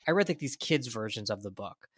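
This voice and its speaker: Halting American man, dry podcast sound. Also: mid-range voice, expressive, breathy edge, no echo. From United States. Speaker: podcast co-host. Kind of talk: casual conversational discussion.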